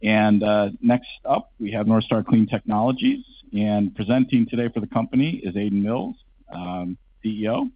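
A man moderating a conference session, introducing the company and asking questions briskly. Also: on the low side, lively intonation, slightly rough. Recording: dry, dull lo-fi sound.

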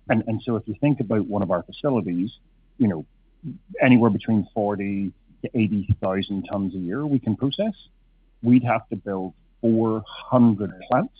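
A middle-aged man with an Irish accent, a company CEO answering questions at a conference presentation, halting with many restarts. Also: fairly low pitch, no echo. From Ireland. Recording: husky voice over a tinny phone-like line.

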